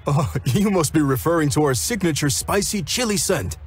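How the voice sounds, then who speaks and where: A man's voice: on the high side, expressive, moderate pace, slightly rough. A man voicing a proud, genial middle-aged chef in a game cutscene, bargaining cheerfully, with game music behind.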